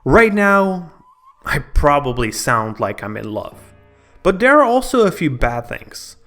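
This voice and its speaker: A man's voice: fairly high pitch, big pitch swings, unhurried, pushed and bright, soft background music. A man narrating a scripted video game review in a dramatic, storytelling manner.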